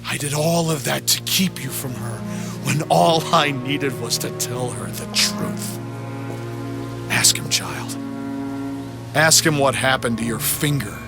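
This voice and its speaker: An actor playing an elderly, zealous prophet villain in a video game, preacherly and deliberate, with grandiose menace. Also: male voice, mid-range voice, gravelly, over loud music. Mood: bitter, urgent. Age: older. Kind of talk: dramatic video game voice acting.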